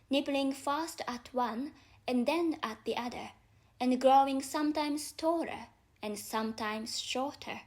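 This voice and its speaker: Woman, non-native English accent, high-pitched, slow-paced, scripted storybook narration. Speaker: audiobook narrator.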